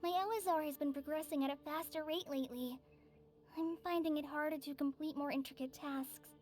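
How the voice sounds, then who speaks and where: Gentle, weary young forest trainee voiced by a woman. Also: sits high, fairly even intonation, brisk, lightly acted. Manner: soft, polite, a little shy. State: tired and sighing. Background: faint game music.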